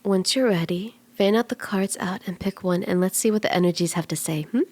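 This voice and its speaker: A woman voicing a flirty, soothing kitsune fortune teller in an ASMR roleplay, speaking gently and coaxingly, at times in breathy whispers. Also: medium pitch, brisk, slight background noise, lightly acted. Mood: gentle, instructive. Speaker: tarot reader.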